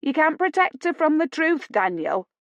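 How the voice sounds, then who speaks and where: A woman's voice: high voice, sing-song, normal speed, no room sound, lo-fi sound, voice pushed up. A woman voicing a flamboyant Yorkshire lady in her fifties, effusive, familiar and needling in an audiobook. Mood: taunting.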